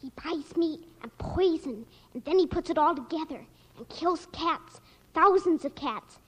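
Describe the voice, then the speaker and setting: A woman's voice: sits high, lively intonation, a little slow, fairly bright tone. A young girl playing a feisty, morbid little girl in an old radio drama, dramatic and earnest. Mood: gleefully morbid. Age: child. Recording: muffled, noisy old radio recording.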